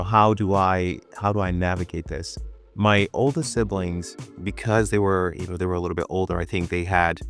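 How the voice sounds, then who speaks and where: A man in his thirties from Ghana and Brooklyn, telling personal stories conversationally as an interview guest, repeating phrases for emphasis. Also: sits low. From born in Ghana, grew up in Brooklyn, New York. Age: 30s. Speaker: venture capital partner, interview guest.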